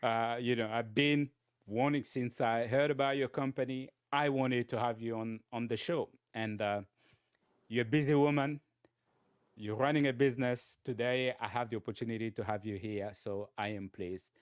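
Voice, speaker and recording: A man hosting an interview show in a conversational way, often halting and repeating his phrases. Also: mid-range voice, fairly even intonation, smooth, dry recording, clean studio sound. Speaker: talk show host interviewing a guest.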